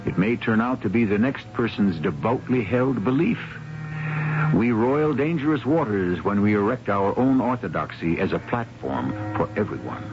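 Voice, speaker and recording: A man hosting a radio mystery drama as a solemn, philosophical narrator with measured, theatrical delivery. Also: mid-range voice, lively intonation, noisy background, close to an everyday voice. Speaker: host and narrator of a radio anthology. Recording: muffled 1970s radio broadcast, music behind.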